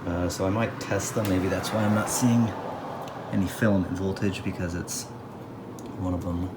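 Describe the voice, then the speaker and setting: A man's voice: sits low, steady tone, moderate pace. A man giving casual hands-on repair commentary, thinking aloud in a hesitant way as he works. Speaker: radio restoration hobbyist. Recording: clear background noise.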